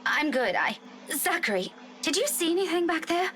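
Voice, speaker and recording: High, bright young woman. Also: big pitch swings, moderate pace, a little hiss or hum, exaggerated voice. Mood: tense, evasive. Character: principled, stubborn young woman, earnest and self-reliant. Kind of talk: video game voice acting.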